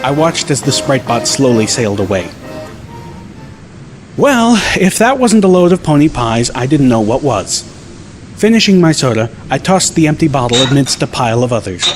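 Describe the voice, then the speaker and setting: A man narrating an audiobook in the first person, measured and dryly wry. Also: mid-range voice, big pitch swings. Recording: clear music bed behind the voice.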